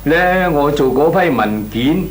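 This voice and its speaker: A man's voice: fairly high pitch, lively intonation, a little slow, soft background music. An actor playing a talkative, cautious small businessman in a Cantonese comic radio drama, hemming and chuckling as he talks. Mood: bashful, wary. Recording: clearly noisy, humming radio recording.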